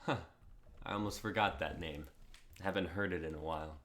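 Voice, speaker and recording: A man's voice: sits low, moderate pace, fairly bright tone. A young man playing a brash, hot-headed card-summoning fighter, dramatic and cocky, in an amateur script reading. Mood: tense. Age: young adult.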